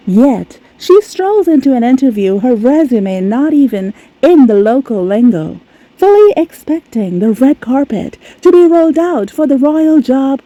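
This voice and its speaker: A woman's voice: on the high side, mellow, low-quality recording. A woman delivering scripted commentary on reality TV in a theatrical, sardonic manner. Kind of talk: scripted narration.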